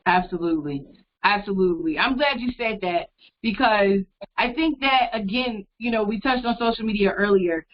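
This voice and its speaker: A woman's voice: medium pitch, moderate pace, dull audio. A woman interviewer speaking over a video call, affirming her guest and reflecting in long, thoughtful runs. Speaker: radio interviewer. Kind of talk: interview conversation.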